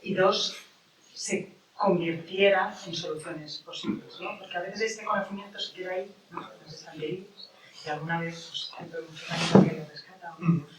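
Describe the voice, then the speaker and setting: Woman, panel moderator, measured delivery, distant mic, echoey room, audible noise. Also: lowish voice. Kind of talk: panel discussion.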